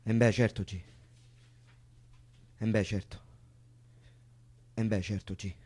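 An actor with a Roman accent playing a guilty, defensive betrayer in a stage drama. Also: male voice, lowish voice, little pitch movement, takes it slowly, a little mellow, a little hiss or hum. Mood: resigned, muttering. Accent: Roman Italian.

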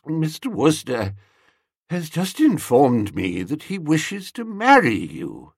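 Man voicing a pompous, spluttering old uncle, husky. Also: fairly high pitch, huge pitch swings, slow, dry recording, clean studio sound, pitched above the performer's own voice, heavily acted. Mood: weary, despairing. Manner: stiff, formal, spluttering. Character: pompous older uncle, a magistrate, aghast and despairing. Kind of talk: comic audiobook character.

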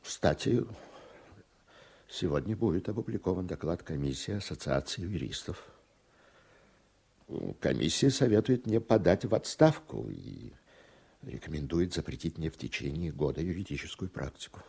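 Radio-play judge: proud, bitter, formal, with an extremely low, husky voice. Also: male voice, big pitch swings, normal speed, a little mellow, heavily acted. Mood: bitter, dignified. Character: proud, embattled male judge, measured and bitter. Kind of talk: Soviet-era radio drama acting.

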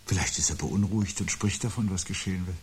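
An actor playing a brooding, anxious man who prophesies the end of life, speaking gravely and deliberately with a husky voice in a 1970s radio play. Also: low voice, slight background noise. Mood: bleak, insistent. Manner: grave, deliberate, articulate, philosophical monologuing.

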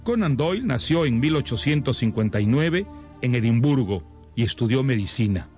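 A man reading a scripted introduction to a literary radio series in a measured, expository manner. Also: mid-range voice, big pitch swings, darkish tone, soft background music. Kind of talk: scripted narration.

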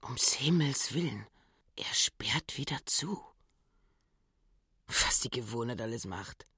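A husky, fretful old housekeeper. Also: female voice, rumbling low, animated, takes it slowly, bright, forceful tone, no room sound, clean studio sound, exaggerated voice. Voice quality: husky, half-whispered. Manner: fretful grumbling inner monologue. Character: anxious, suspicious old housekeeper muttering to herself. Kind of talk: German radio play acting.

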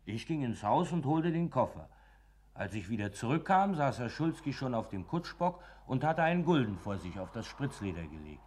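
Farmhand, male, plain-spoken, deferential, colloquial, mid-pitched, radio drama. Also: very animated, normal speed, darkish tone. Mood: steady recounting. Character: plain, obedient farmhand and coachman telling his account. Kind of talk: German radio play acting and narration.

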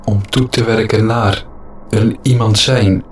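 A man delivering a reflective spoken-word monologue in short, deliberate, repeated phrases for an audio-play podcast. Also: fairly low pitch, fairly even intonation. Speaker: podcast host. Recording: echoey room sound, faint background noise.

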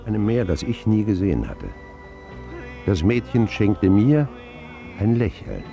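A deep, mellow grown man looks back on his boyhood in a radio play. He is wry and reflective, with a gravelly edge and an expressive, swooping delivery. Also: a little slow, dull audio, soft background music, heavily acted. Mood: nostalgic, wry. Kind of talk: scripted radio drama narration.

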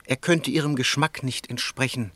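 A man's voice: medium pitch, fairly even intonation, normal speed, pushed and bright, dry recording. An actor playing a scornful, calculating bourgeois businessman in a German radio play, with a sardonic, articulate delivery. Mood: insinuating.

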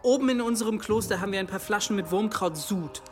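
An earnest young monk played by a man who explains things haltingly but knowledgeably. It is acted radio drama, with music in the background. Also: sits high, close to an everyday voice. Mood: thoughtful, earnest. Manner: hesitant, explanatory.

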